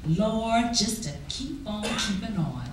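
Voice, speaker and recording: Female poetry reader, expressive and widely varied in pitch, unhurried dramatic delivery, recorded distantly in a reverberant hall. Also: over music. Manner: expressive, deliberate, with drawn-out pauses. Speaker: poetry reader at a church performance. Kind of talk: dramatic spoken-word poetry recitation. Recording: echoey hall, distant mic, faint noise.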